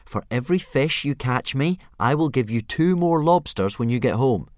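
A man's voice: medium pitch, animated, normal speed, darkish tone, no room sound, clean studio sound, voice pushed up, lightly acted. A man voicing a frustrated boy trying to train his stubborn dragon. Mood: reasoning. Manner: pleading, exasperated.

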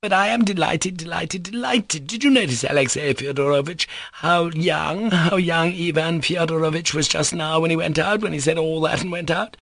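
A man's voice: fairly high pitch, normal speed, creaky, hardly any pauses, fairly bright tone, no echo, clean studio sound, voice pushed up. A man voicing a gushing, flighty middle-aged society mother who exclaims and repeats herself in an audiobook story. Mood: excited, delighted. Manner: effusive, repetitive, exclaiming.

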